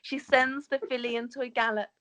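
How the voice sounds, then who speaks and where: A woman's voice: on the high side, fairly even intonation, fairly quick, fairly bright tone. A woman narrating the stage directions of a script in an amateur table read, sometimes stumbling over words.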